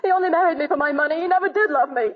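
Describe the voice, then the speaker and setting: A woman's voice: sits high, rapid-fire, close to an everyday voice. A woman playing a fragile, bitter, distraught wife, tearful and overwrought in 1940s American radio melodrama style. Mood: bitter, desperate. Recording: tinny muffled 1940 radio broadcast.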